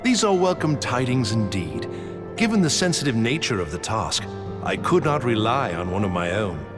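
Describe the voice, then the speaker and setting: An actor playing a composed, shrewd military intelligence commander in a fantasy game, speaking in formal, measured, archaic phrases with grave courtesy. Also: male voice, medium pitch, sing-song, natural voice. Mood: grave, confiding. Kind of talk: dramatic fantasy game voice acting. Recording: long reverb under clear music.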